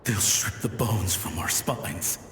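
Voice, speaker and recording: A man voicing a despairing, pleading ghost in a video game, mournful and breathy, with long reverb on the voice. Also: lowish voice, slow pace, heavy background noise. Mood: dread.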